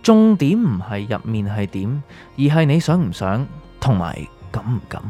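A man's voice: medium pitch, big pitch swings, normal speed, mellow. An actor with a Hong Kong Cantonese accent playing an enigmatic, secretive male doctor in a radio drama, speaking in a cryptic, philosophical way. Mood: cryptic, solemn. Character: enigmatic male doctor, secretive guide offering fateful choices. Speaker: supporting character in a radio drama. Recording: music under the voice.

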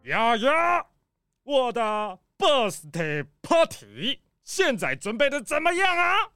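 A woman's voice: high voice, sing-song, takes it slowly, a bit bright, smooth, no room sound, studio quality, soft background music, heavily acted. A woman playing a spoiled, imperious male crocodile farm owner in a children's audio drama, whiny, drawing out words and dropping in English exclamations. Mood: pompous, eager.

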